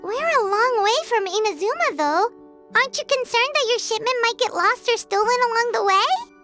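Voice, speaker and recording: A woman's voice: extremely high pitch, expressive, normal speed, bright, forceful tone, faint music behind, full character voice. A woman voicing a tiny, childlike fairy companion in a video game, chirpy, curious and prone to exclaiming. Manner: refers to self by name, exclaiming. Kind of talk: video game character acting.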